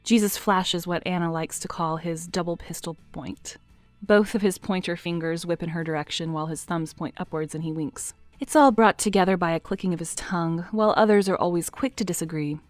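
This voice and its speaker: A woman reading scripted narration for an audio drama, in a lively, expressive way. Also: fairly low pitch, fairly quick, no echo, soft background music.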